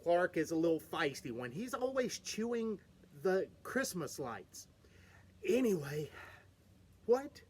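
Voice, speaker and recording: A man reads a children's Christmas book aloud in a high, highly animated voice, taking his time over each phrase. He plays to his young listeners, chatting with them between lines. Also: slow pace, slightly rough. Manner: playful, talks to the audience. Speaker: storyteller reading to children.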